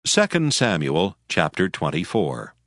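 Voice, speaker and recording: A man narrating a dramatized scripture as scripted audio, with solemn, measured storytelling in archaic phrasing. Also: low-pitched, huge pitch swings, a bit bright. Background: music.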